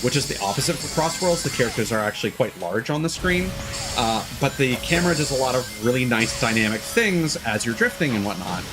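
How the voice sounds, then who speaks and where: Loud game music plays behind a man with a slightly ordinary, middle-pitched voice. He is live streaming a video game, giving casual commentary. His talk is rambling and opinionated, like someone thinking aloud to viewers. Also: poor audio.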